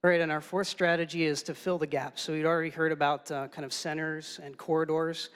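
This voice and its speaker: A man's voice: a little high, a little fast, clean tone. A man presenting an urban design strategy to an audience, with frequent fillers. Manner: steady, lots of "uh" and "kind of". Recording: reverberant hall.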